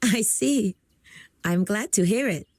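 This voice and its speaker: A kindly, composed young game character, talks in a mid-pitched, slightly husky voice. The manner is calm, polite and explanatory, in a dry recording. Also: female voice, slow pace, dry recording. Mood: calm, reassuring. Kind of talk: video game character acting.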